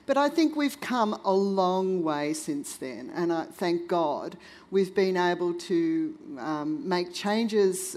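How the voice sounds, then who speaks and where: A woman's voice: mid-range voice, a little mellow. A woman speaking on a discussion panel, frank and conversational, checking in with her listeners.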